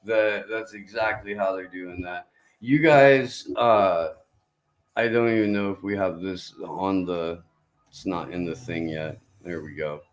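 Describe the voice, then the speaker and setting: A man's voice: deep voice, a little slow, roomy sound. A man hosting a podcast in casual chat, profane and rambling. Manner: profane, laid-back, rambling. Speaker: podcast host.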